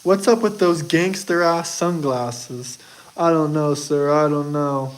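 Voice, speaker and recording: Young man, from the US, higher-pitched, boastful, defensive, casual stream talk. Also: slow pace. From United States. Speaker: streamer answering chat. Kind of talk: casual live chat.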